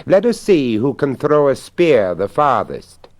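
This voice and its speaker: A man's voice: medium pitch, very animated, measured pace, darkish tone, no echo, pitched above the performer's own voice. Man playing a bold, sly stranger, raised voice. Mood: bold challenge. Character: sly, challenging male stranger in a folktale.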